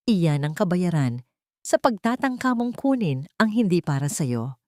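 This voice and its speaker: A woman's voice: fairly low pitch, sing-song, a little slow, darkish tone, no room sound. Cold, scheming male villain for audio fiction, read by a woman, threatening, swooping intonation, dry sound. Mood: cold menace. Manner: threatening, taunting. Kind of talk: audio story character dialogue.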